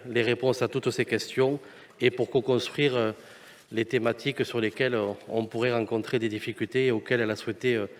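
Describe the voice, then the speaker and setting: Male elected official, mid-range voice, formal unscripted reply, measured and conciliatory with halting phrasing. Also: mid-range voice. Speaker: elected metropolitan councillor responding. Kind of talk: formal spoken reply at council meeting. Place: council meeting.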